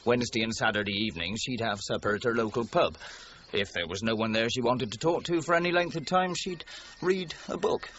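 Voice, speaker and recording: An actor with a British accent playing a dry, courteous police detective in a radio crime drama, speaking politely and precisely. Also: male voice, medium pitch, a little fast, few pauses, a bit bright, muffled recording, a little hiss or hum, lightly acted.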